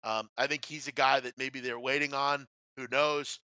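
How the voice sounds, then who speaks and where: A man hosting a casual sports betting discussion, speaking bluntly with some swearing and halting asides. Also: medium pitch, level tone, pushed and bright, clean tone, no room sound. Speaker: sports betting show host. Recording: slightly dull, dry lo-fi audio.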